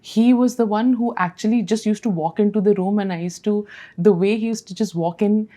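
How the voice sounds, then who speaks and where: A woman from Nepal in her thirties, a dental specialist and podcast guest, telling her story in a warm, reflective way with many fillers and restarts. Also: medium pitch, fairly quick. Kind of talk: conversational podcast interview.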